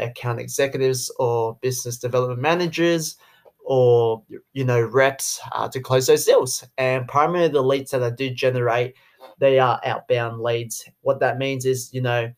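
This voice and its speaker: A man in his twenties with an Australian accent, talking through a casual podcast monologue in a rambling way, full of "you know" fillers. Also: medium pitch. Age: 20s. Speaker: podcast host, sales rep.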